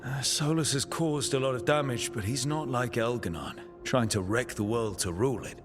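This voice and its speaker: A man playing a gentle, conscientious elven man in a dramatic fantasy video game. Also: mid-range voice, moderate pace, natural voice. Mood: hopeful. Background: background music.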